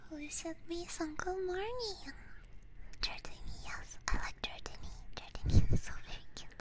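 A woman's voice: very high-pitched, moderate pace. Whispering woman, casual ASMR streamer. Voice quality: breathy, mostly unvoiced whisper. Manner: soft, informal, playful. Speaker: ASMR streamer chatting with live chat. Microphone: binaural ASMR microphone. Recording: close binaural mic, audible background noise.

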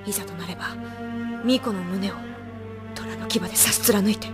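A woman playing a dutiful schoolgirl shrine maiden, polite and hushed, in an anime audio drama. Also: mid-range voice, normal speed, music in the background, close to an everyday voice. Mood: subdued, serious.

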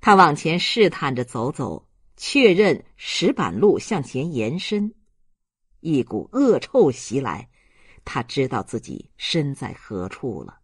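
Husky novel narrator, unhurried n dramatic storytelling, dry close sound. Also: medium pitch, sing-song, dry recording. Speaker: audiobook narrator. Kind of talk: scripted literary narration, novel reading.

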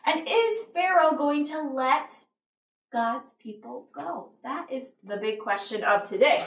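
High, lilting young woman hosting story time. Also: animated, measured pace, a bit bright, a little hiss or hum. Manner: encouraging, smiling, sing-song. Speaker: children's story time host. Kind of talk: children's presenting and storytelling. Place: small indoor set with a couch. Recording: roomy sound, distant microphone.

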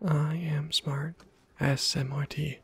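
A man whispering ASMR game commentary close to the mic, breathy and muttering dryly to himself. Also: fairly high pitch, little pitch movement, slow pace. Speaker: gaming video creator.

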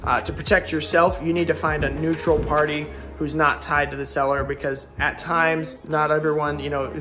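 A man's voice: on the high side, little pitch movement, moderate pace, sharp tone. A man in his thirties with an American accent, giving an informal, explanatory renovation walkthrough as a contractor and vlog host. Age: 30s. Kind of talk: casual walkthrough vlog talk. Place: handheld walkthrough in house, garage and covered patio. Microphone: phone or camera at arm's length. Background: music.

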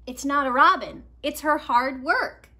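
Woman as Nico, a high, earnest little boy. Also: measured pace, pushed and bright, full character voice. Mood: exasperated, earnest. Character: Nico, earnest young boy who loves drawing.

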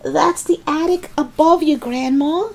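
A woman's voice: high-pitched, expressive, a little slow, a little mellow, pitched above the performer's own voice. A woman voicing a young boy in a children's storybook, earnest and urgent as he protests and pleads. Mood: warning.

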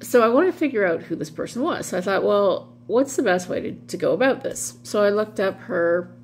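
A woman's voice: mid-range voice, animated, fairly quick. A middle-aged woman vlogging casually in a wry, amused way, wandering off into asides. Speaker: vlog host doing dramatic readings. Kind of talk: casual rambling commentary. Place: small room at home, indoors. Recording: clearly audible background hum noise.

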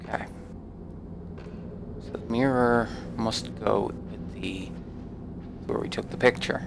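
A man gives casual, informal commentary over a game, half talking to himself. His voice is low with a lot of fry, and he speaks slowly and hesitantly. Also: steady tone, background noise. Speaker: let's play host. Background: horror game audio.

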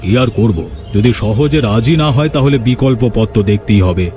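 A man's voice: mid-range voice, expressive, normal speed, mellow, lightly acted. A man voicing a crooked, arrogant village boss in a fairy-tale cartoon, self-important and calculating. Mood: plotting. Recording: music behind the voice.

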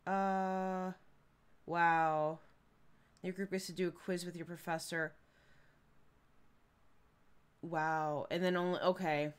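A woman's voice: lowish voice, little pitch movement, takes it slowly, pauses often, clear, resonant tone. A young woman from Chicago with a Midwestern accent, chatting casually while streaming a game, rambling with fillers and giggles. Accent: Midwestern American. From Chicago area. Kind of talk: casual live game commentary.

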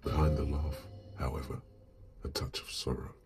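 A male actor voicing a detective's knowing, observant inner voice, narrating a video game in a measured way. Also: extremely low pitch, flat delivery. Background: background music.

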